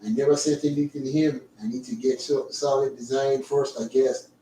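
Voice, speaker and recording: A man's voice: medium pitch, steady tone, warm tone, far from the mic, slight background noise. A man from the with a light lilt, chatting casually and teasing the others as he hosts a livestream. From Caribbean. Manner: teasing, talkative, quick asides. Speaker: livestream host. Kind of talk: casual chat. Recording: echoey room, away from mic.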